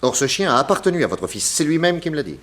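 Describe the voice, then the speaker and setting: An actor playing a shrewd, authoritative detective in a 1960s French radio drama, theatrical, deliberate and accusatory. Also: male voice, on the high side, big pitch swings, fairly bright tone. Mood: accusing, emphatic.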